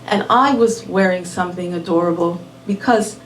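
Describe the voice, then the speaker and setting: A woman raised in Miami telling a personal story live at a small venue, unhurried and measured, dropping in Spanish words. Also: on the low side, slight background noise. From born in Puerto Rico, raised in Miami. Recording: roomy venue audio from a distant mic.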